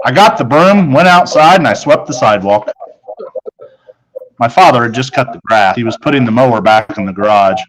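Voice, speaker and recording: A man's voice: medium pitch, lively intonation, moderate pace, fairly bright tone, rough recording. Man reading a children's story aloud. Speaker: reader of a children's novel. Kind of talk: read-aloud story narration.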